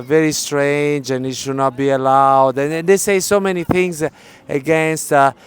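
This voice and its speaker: A man's voice: a little high, clear, resonant tone. A man interviewing outdoors at a shrine, asking curious questions and searching for words. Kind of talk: casual interview.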